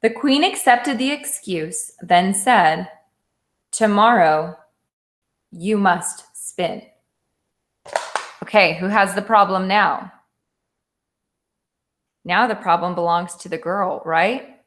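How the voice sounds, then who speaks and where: A woman teacher speaks at a slow pace in a medium register. She leads a read-aloud lesson for children, mixing story reading with simple, instructive commentary. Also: mid-range voice, fairly bright tone. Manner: instructive, explaining simply with questions to listeners. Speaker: elementary school teacher.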